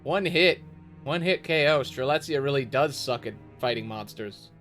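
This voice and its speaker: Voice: mid-range voice, animated, normal speed, fairly bright tone. A streamer giving casual, sarcastic reaction commentary with swearing and sing-song asides. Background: music and audio of the video being watched.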